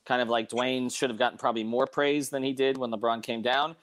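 A man's voice: mid-range voice, fairly even intonation, fairly quick, a bit bright. A man hosting a casual sports debate, thinking aloud in questions. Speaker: sports podcast host.

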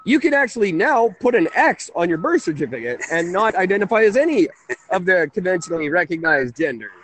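A man's voice: high-pitched, very animated, a little fast, fairly bright tone, a little hiss or hum, rough recording. A man in his thirties hosting a casual call-in radio talk show, rambling and correcting himself. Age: 30s. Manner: rambling, chuckling, self-correcting.

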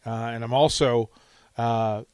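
A man's voice: mid-range voice, fairly even intonation, unhurried, darkish tone, clear, resonant tone, no echo. A man hosting a radio show in casual chat, often repeating phrases for emphasis. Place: radio studio.

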